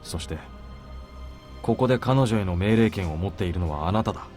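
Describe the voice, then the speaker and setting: A man's voice: low voice, lively intonation, very fast, a little mellow. An actor playing an earnest male soldier in a Japanese visual novel, politely challenging his superior. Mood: insistent. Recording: game music under the voice.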